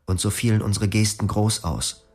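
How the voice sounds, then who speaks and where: An actor voices a young former psychiatric patient who narrates a radio drama in the first person. The voice is low and the tone is quiet and melancholic. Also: male voice, steady tone, moderate pace, faint music behind, natural voice. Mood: reflective. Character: young male patient recalling his clinic past.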